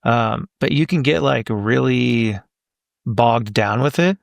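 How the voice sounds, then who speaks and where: A man in his thirties chats casually as a podcast guest, circling his thoughts with fillers and restarts. His voice sits at an ordinary pitch with a gravelly edge, recorded dry and close. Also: slow pace, dry recording. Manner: rambling, thinks aloud with many fillers. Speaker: voice actor guest.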